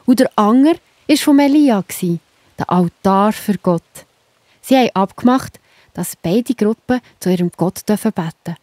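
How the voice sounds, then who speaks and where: A woman's voice: medium pitch, lively intonation, measured pace, dark tone, dry recording. A woman with a Swiss accent narrating a children's Bible story, explaining simply and speaking directly to young listeners.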